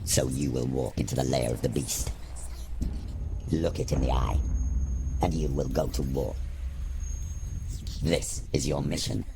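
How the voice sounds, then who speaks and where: Commanding male dark spirit, video game: bottomless pitch, heavy gravel, cold, solemn, ominous delivery. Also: measured pace, long pauses, noisy background, heavily acted. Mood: menacing, grave. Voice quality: growling, gravelly.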